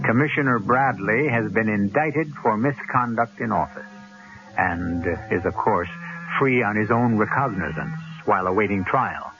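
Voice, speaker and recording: A man hosting a radio mystery, narrating in a measured, deliberate, rhetorical way. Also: lowish voice, lively intonation. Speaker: host and narrator. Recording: dull old radio recording. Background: music.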